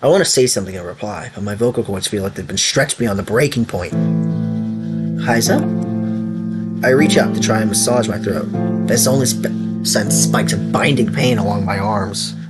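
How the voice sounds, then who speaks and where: A young man with an Italian accent, reading a visual novel aloud on stream, stumbling over words and breaking into loud outbursts. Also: lowish voice, normal speed, over loud music.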